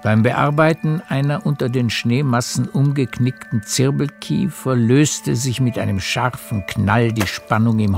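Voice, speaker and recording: A man narrating a radio play as a literary storyteller, with measured, deliberate phrasing. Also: medium pitch, creaky.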